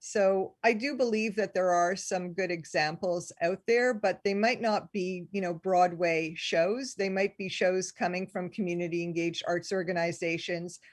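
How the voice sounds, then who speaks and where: A woman's voice: lowish voice, steady tone, moderate pace, hardly any pauses, no room sound, studio quality. A woman moderating a live question-and-answer event, reading out questions and adding comments.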